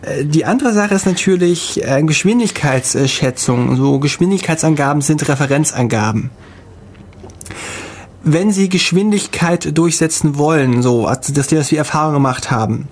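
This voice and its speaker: A man co-hosting a casual podcast, telling travel stories in a halting way with many fillers. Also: on the high side, lively intonation, husky. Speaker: podcast co-host. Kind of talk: casual chat.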